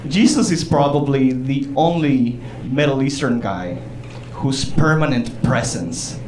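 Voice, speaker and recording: A man from Chile performs live stand-up comedy, talking conversationally with pauses and bursts of exclamation. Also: mid-range voice, noisy background. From southern Chile. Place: small comedy theater stage, indoors. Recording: roomy live club sound, distant, audience. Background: audience laughter.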